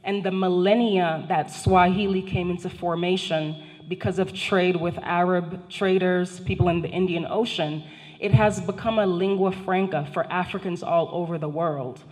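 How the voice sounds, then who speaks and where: A woman's voice: lowish voice, steady tone, moderate pace, darkish tone. A middle-aged woman whose first language is not English, giving a formal prepared lecture from a panel table into a handheld microphone, speaking directly to the audience. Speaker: guest speaker, professor. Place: large indoor function hall, seated at a panel table. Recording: long hall reverb.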